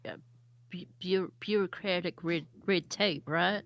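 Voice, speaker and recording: A woman bantering casually as a player in a tabletop game, giggly and halting. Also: fairly low pitch, steady tone, vocal fry.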